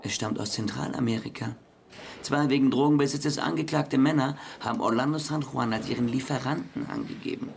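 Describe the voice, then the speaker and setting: An actor playing a brusque, formal police officer in a radio crime drama, speaking with authority. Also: male voice, medium pitch, brisk, vocal fry, a little hiss or hum, natural voice. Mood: formal, accusing.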